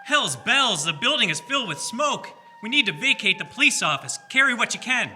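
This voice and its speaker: Hearty male constable for radio play, very sharp bright tone, animated man acting. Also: very high voice, a little fast, faint music behind, exaggerated voice. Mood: alarmed, urgent. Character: small-town police constable, hearty, dutiful man.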